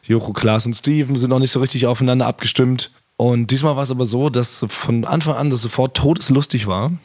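Hoarse male podcast co-host, deadpan, casual delivery. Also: mid-range voice, fairly quick. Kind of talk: casual chat. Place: hotel or flat, recording remotely.

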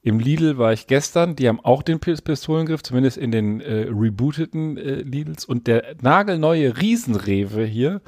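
Podcast co-host, male, quick joking banter, dry sound. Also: medium pitch, lively intonation, darkish tone, dry recording. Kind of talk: casual chat.

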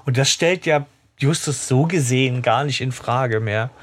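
A man's voice: mid-range voice, normal speed. Man acting out character lines. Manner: acts out characters' lines while explaining. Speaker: podcast co-host. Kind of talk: casual fan podcast discussion.

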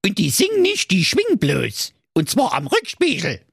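A man's voice: high voice, huge pitch swings, normal speed, gravelly, a bit bright, breathy edge, dry recording, clean studio sound, voice pushed up, full character voice. A man voicing a grumpy, crafty evil male dwarf in a comic fairy tale, grumbling and sly.

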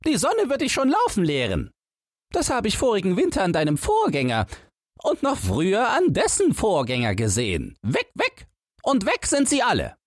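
A man voicing a hoarse old chained dog, a gruff know-it-all who barks and lectures in a storybook tale. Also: wildly swooping pitch, normal speed, no echo, clean studio sound, heavily acted. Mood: barking, scornful. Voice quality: hoarse, strained high barking voice. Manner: barking, curt, lecturing.

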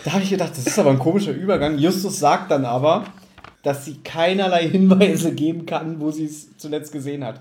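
A man chatting casually as a podcast co-host, animated and often slipping into mock voices to act out quotes. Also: fairly high pitch, fairly quick, roomy sound. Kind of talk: casual chat reviewing an audio drama.

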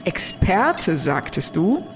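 A woman's voice: on the low side, very animated, takes it slowly, creaky, faint music behind, heavily acted. Woman as an aggressive, foul-mouthed fugitive. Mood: sneering, impatient. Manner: threatening, sneering, crude. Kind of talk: sci-fi audio drama acting.

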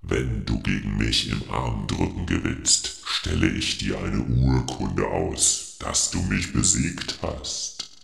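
A man playing a laid-back, sly dragon in a fairy-tale audio drama, gravelly and nonchalant. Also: a bass voice, fairly bright tone, heavily acted. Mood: casual, conceding. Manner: slow, casual, nonchalant. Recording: echoey cave-like reverb.

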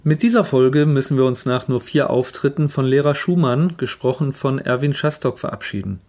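A man reads out prepared trivia notes as a commentator. His pitch sits in the middle for a man. He sounds informative and matter-of-fact, keeping to the written script. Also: moderate pace, darkish tone, faint music behind. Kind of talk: scripted narration.

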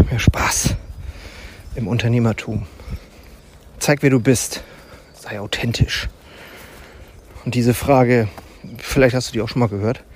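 A man hosting his own podcast, talking reflectively while walking, unhurried and thoughtful with pauses and fillers. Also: mid-range voice, a little mellow, a little hiss or hum. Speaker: podcast host. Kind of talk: reflective solo podcast monologue. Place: outdoors in a forest. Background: faint forest ambience and animal sounds.

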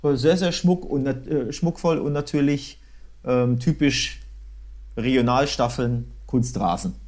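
A man's voice: medium pitch, unhurried, darkish tone. A man talking casually and enthusiastically, as a football podcast co-host. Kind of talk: casual football chat, recounting a trip.

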